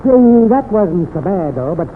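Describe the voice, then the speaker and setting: A man's voice: high voice, big pitch swings, unhurried. An actor playing a simple, good-hearted backwoods man with a humble, rambling drawl and rural American accent in an old radio western. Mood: sheepish. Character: simple, good-hearted backwoods country kinsman from a ghost town. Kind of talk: 1940s-50s radio western acting. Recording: tinny, noisy old-time radio recording.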